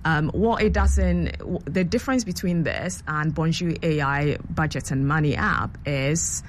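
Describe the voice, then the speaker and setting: A woman's voice: sits low, measured pace, slight background noise. A woman who grew up in London, a guest on a radio show, explaining her app in a chatty way with many fillers. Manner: chatty, explanatory, many ums and you-knows. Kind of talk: radio interview answers.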